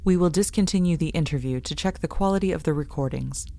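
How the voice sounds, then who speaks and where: A woman's voice: sits low, fast, creaky, warm tone, a little hiss or hum. A woman playing an earnest young woman caught in forbidden love, acted with emotive old-time radio drama delivery. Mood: formal.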